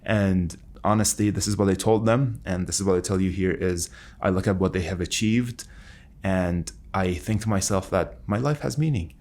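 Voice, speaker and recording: A man's voice: sits low, normal speed, darkish tone. A man who works as a researcher and mentor, talking reflectively in an interview.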